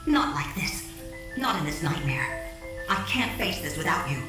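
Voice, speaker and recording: A woman's voice: low voice, very animated, moderate pace. An actress playing a desperate young wife who frantically begs her husband not to leave, in an audio drama. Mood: pleading. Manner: pleading, breathless, frantic. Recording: echoey, distant, with music underneath.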